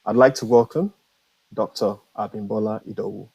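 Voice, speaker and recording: A middle-aged man co-hosting a live author interview over a video call, speaking haltingly and repeating words as he goes. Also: on the low side, vocal fry, a little mellow, husky, rough recording. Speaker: co-host of an author interview show. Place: indoor room at home, video call. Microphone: video-call microphone.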